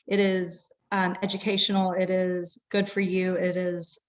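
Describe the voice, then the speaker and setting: A hoarse woman in her thirties who is losing her voice gives a personal testimonial as a product distributor, pausing often on um. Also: on the low side, flat delivery. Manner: unhurried, with frequent um fillers. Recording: dull lo-fi recording.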